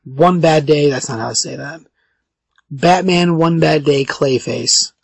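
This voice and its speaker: A man with a raspy voice hosting a podcast in casual chat, halting and often correcting himself. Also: a little high, no room sound. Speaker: podcast host.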